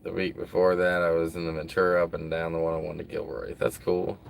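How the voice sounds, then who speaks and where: Low-voiced rambling livestream guy. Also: steady tone, quick pace, creaky, a little hiss or hum. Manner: rambling, many "like" fillers, chatting with viewers. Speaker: livestream host. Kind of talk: casual livestream chat.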